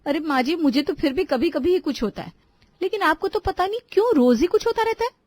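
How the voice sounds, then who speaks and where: A woman's voice: very high-pitched, animated, a little fast, a little mellow, no room sound. Woman as a cheeky, sarcastic young daughter-in-law. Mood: sweetly sarcastic. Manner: sarcastic, mock-innocent needling. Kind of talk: animated family drama voice acting in Hindi.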